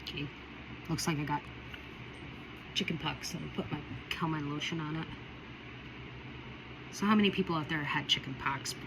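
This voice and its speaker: A woman's voice: deep voice, moderate pace, gravelly, pauses often. A woman talking casually through a budget makeup tutorial, chatty and rambling with repeated warnings. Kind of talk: casual how-to chat. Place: home, indoors by a window. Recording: loud vehicle noise behind.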